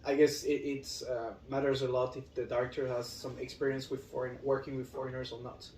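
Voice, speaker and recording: A man's voice: mid-range voice, flat delivery, brisk, darkish tone. A man from France with a French accent, chatting casually as a podcast guest in a room at home. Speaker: podcast guest, actor and model. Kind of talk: casual chat. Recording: echoey room, distant mic, faint noise.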